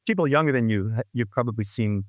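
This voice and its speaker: A man's voice: mid-range voice, fast, no echo, clean studio sound. Rambling man in his sixties. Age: 60s. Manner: rambling, full of restarts and "you know, like". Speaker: guest musician. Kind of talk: reflective conversational interview.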